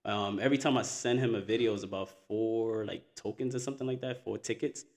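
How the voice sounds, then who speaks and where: A male podcast host with a fairly low voice, chatting casually. He keeps backing his guest with quick affirmations like "so true" and "I agree". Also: fairly even intonation, a little mellow. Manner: agreeable, lots of short affirmations. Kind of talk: casual interview chat.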